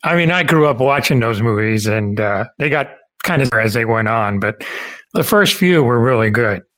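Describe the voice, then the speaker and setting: A man's voice: mid-range voice, expressive, normal speed, fairly bright tone. A chatty, enthusiastic middle-aged or older man interviewing a guest in a casual way.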